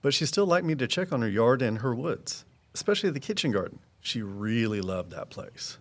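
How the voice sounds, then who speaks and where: Casting: knowledgeable male woodsman, guarded and folksy, mid-pitched, very varied intonation, audio drama. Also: sing-song, moderate pace. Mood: reflective. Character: Walt, guarded, knowledgeable woodsman and conservation volunteer. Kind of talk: full-cast audio drama dialogue.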